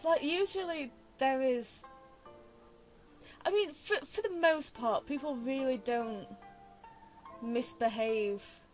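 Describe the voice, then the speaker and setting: A young woman streamer talks casually over a game. Her voice is a little high, and she thinks aloud in an earnest, hesitant way. Soft game music sits in the background. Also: takes it slowly, pauses often, rough recording. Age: young adult. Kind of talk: casual rambling game commentary. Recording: faint game music behind.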